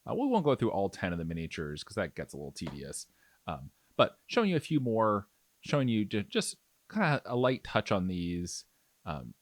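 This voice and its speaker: Low-voiced man, lively casual tutorial narration. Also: dry recording. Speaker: miniature painting tutorial host. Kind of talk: casual instructional narration over demonstration.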